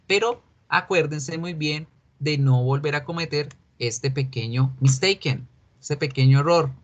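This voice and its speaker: A man speaking as an English teacher, explaining a recorded lesson patiently and repeating key words slowly. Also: on the high side, highs cut off. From Colombia.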